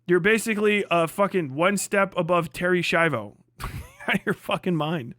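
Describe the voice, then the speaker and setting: A man with a slightly high voice talks in a close, dry sound as he hosts a livestream. He is blunt and sardonic, leaning on slang, profanity and hyperbole in a casual rant. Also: moderate pace, fairly bright tone, no echo. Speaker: livestream host.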